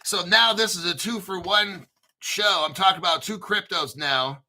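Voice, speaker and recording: Bright-voiced man hosting a crypto show. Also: sits high, moderate pace. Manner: conspiratorial, spells out names in letters, swears casually. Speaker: crypto channel host. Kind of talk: casual solo commentary.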